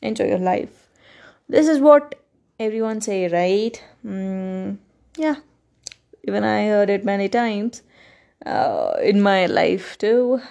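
A woman with an Indian English accent hosts a solo podcast at an unhurried, drawn-out pace. Her voice is soft and mellow, and she speaks earnestly and thoughtfully, filling pauses with ums and uhs. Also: mid-range voice, very animated, vocal fry. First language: Kannada. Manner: earnest, reflective, with frequent fillers. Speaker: podcast host.

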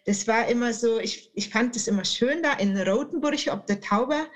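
High-voiced, chatty woman in her late thirties. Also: normal speed. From southern Germany. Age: late 30s. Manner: chatty, playful, colloquial. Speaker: podcast guest, author. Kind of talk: casual interview conversation.

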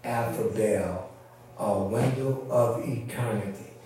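A man's voice: on the low side, slow pace, warm tone, a little hiss or hum. A man preaching in a folksy, conversational way, repeating points for emphasis in a sermon style. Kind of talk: sermon teaching with repetition and calls for amen. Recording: very echoey room, distant mic.

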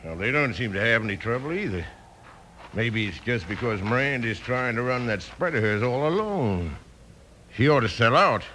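A man playing a surly, scheming rancher villain with a gruff American drawl in an old-time radio western. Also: mid-range voice, expressive, moderate pace, pushed and bright. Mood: sly. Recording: noisy old radio recording.

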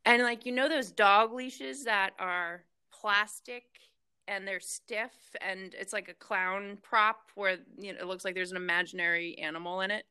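Bright-voiced woman telling a story. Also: mid-range voice, normal speed, smooth. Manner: laughing, animated storyteller. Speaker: guest. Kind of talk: casual chat, storytelling.

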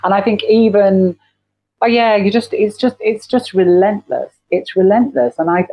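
A woman's voice: deep voice, no echo. A middle-aged woman talking candidly as a podcast guest, repeating phrases for emphasis, on a dull recording. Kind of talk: candid conversational interview. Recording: dull lo-fi, dry close sound.

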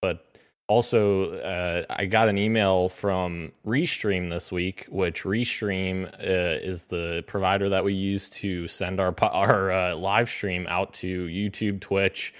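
A man's voice: sits low, level tone, slow pace. A man co-hosting a podcast, talking casually in a rambling way with many fillers. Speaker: podcast co-host.

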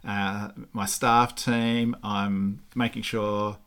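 A man's voice: lowish voice, drawn-out words, smooth. A middle-aged man with an Australian accent, chatting easily as a podcast guest with lots of agreeable fillers. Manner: relaxed, agreeable, many fillers. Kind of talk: casual interview chat.

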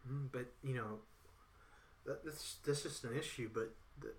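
A man's voice: medium pitch, measured pace, a little hiss or hum. A man in casual political chat, rambling and repeating himself with lots of "you know". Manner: acts out others in quoted voices.

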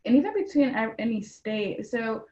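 A woman's voice: on the high side, expressive, moderate pace, mellow. Young woman guest, earnest, lots of ums, dull lo-fi recording. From Mexican household in Atlanta, Georgia. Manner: earnest, frequent ums and you knows. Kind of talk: casual interview answers.

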